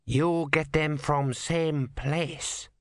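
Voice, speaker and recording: A man voicing a calm, wry old male sweeper monk who speaks clipped broken English. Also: a little high, very slow, dry recording, studio quality, pitched above the performer's own voice. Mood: wise. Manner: clipped broken English, drops small words.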